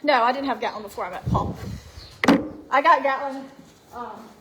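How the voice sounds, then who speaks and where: A woman's voice: high-pitched, normal speed. A woman in her early forties chatting casually on a livestream while doing barn chores, repeating phrases and calling out to animals. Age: early 40s. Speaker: farm livestreamer. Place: horse barn with stalls on a farm. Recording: very noisy live barn recording.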